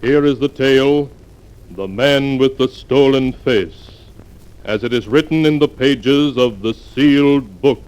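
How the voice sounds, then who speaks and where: A man's voice: medium pitch, a little slow, a little mellow. A man narrating as an announcer in the style of 1940s radio storytelling. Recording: very noisy old radio recording.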